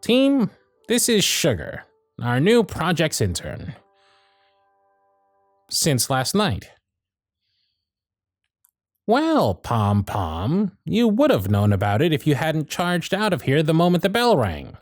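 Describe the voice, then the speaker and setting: A man playing a gruff but fond elf workshop supervisor in an ASMR roleplay, with a bossy, teasing, sardonic way of talking. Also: a little high, huge pitch swings, takes it slowly, pauses often, no echo, clean studio sound, faint music behind, full character voice. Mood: stern, sardonic.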